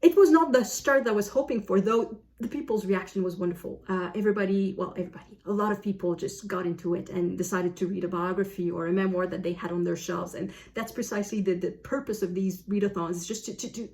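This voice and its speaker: A woman book vlogger chatting casually to camera in an animated, self-deprecating way. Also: mid-range voice, fast, hardly any pauses.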